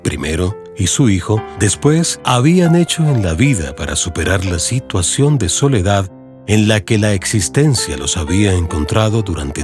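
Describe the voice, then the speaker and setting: Male narrator, low register and expressive melody, measured dramatic literary delivery with clear music underneath. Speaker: audiobook narrator. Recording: music behind the voice.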